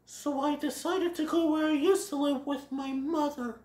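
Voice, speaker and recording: A woman voicing a gentle, sensitive young male fawn in a storybook tale, soft and sincere. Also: sits high, steady tone, moderate pace, voice pushed up, close to an everyday voice. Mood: wistful. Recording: echoey room.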